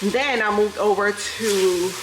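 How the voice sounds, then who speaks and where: A woman in her thirties, a native Spanish speaker with a New York accent and a Southern lilt, chats with her viewers as she cooks. Her voice sits at an everyday middle pitch. The kitchen is echoey, and a pan sizzles and frying sounds come clearly through behind her. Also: takes it slowly, background noise. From New York City. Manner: chatty, laughs often, talks to viewers as "y'all". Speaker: vlogger. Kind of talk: casual chat while cooking. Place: home kitchen with food frying.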